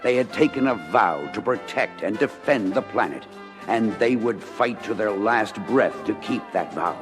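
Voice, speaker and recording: A man's voice: fairly low pitch, expressive, moderate pace. A man narrating a scripted adventure story in a dramatic storytelling style. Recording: music bed under voice. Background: music.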